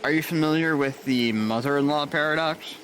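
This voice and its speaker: A man's voice: fairly high pitch, a little fast, a bit bright, a little hiss or hum, close to an everyday voice. An actor playing an easygoing, wry married male nurse in an audio drama. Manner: laid-back, wry.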